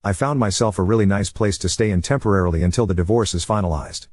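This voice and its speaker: Male narrator, lowish voice, fast and matter-of-fact reading, dry close mic. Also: darkish tone, no room sound. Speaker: narrator reading a forum post. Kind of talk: reading a forum post aloud.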